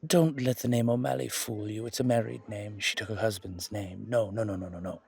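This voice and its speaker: Man playing a gravelly, flirtatious museum guide. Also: fairly low pitch, steady tone, a little fast, darkish tone, no echo. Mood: chatty, informative. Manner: chatty, hesitant asides, emphatic repetitions, genteel. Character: charming, flirtatious male museum guide in a supernatural town. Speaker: character performer in an audio roleplay. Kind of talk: intimate ASMR roleplay monologue, conversational.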